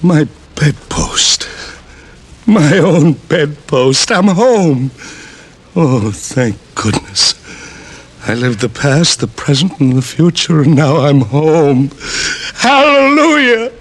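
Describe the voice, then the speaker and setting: Scrooge, brash and giddy. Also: male voice, fairly high pitch, animated. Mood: overjoyed. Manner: brash, fast-talking, tough-guy slang. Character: reformed old miser Scrooge, giddy and generous. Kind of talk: 1950s radio drama acting.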